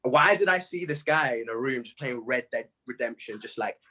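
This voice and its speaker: A young man chatting casually in an interview, telling stories in an animated, slangy way with plenty of "bro" and "man". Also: medium pitch, moderate pace. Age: young adult. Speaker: music artist being interviewed. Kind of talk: casual chat.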